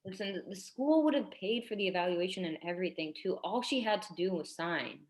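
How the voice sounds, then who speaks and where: A woman's voice: lowish voice, animated, brisk, a little mellow, close to an everyday voice, rough recording. A woman playing an earnest, tactful schoolteacher in her twenties in a staged drama reading, speaking hesitantly and delicately. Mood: earnest, confiding.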